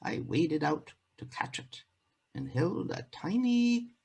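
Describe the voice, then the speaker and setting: A man's voice: fairly high pitch, expressive, takes it slowly, gravelly, a little mellow, breathy edge. A man telling a folktale as a theatrical monologue, with dramatic, declamatory phrasing. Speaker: actor telling a folktale in a play.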